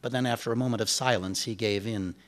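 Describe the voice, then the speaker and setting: A man reading a short story aloud as a first-person narrator, in a measured, deliberate way with emphatic pauses. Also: lowish voice, steady tone.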